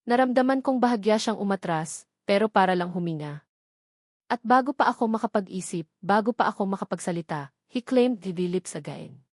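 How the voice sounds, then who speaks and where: Female romance narrator, Filipino accent, mid-pitched, emotive dramatic storytelling, dry recording. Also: dry recording.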